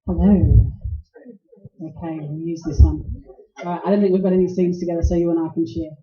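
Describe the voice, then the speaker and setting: A woman's voice: on the low side, fairly even intonation, brisk, dark tone. A woman hosting a live event, giving informal introductions to a group of radio players. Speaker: host introducing a radio play troupe. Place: indoor live venue before an audience. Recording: echoey room, dull lo-fi sound.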